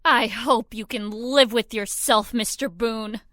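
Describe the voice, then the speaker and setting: A woman's voice: on the high side, steady tone, takes it slowly. An actress playing a desperate young woman, imploring and dramatic in an audio drama. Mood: pleading. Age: young adult.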